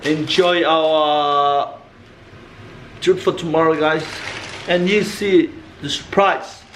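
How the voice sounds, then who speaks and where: High-voiced Samoan man vlogging. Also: fairly even intonation, slow, fairly bright tone. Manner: playful, loose broken English. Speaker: vlog host. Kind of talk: casual vlog chat. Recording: echoey room with clear background noise.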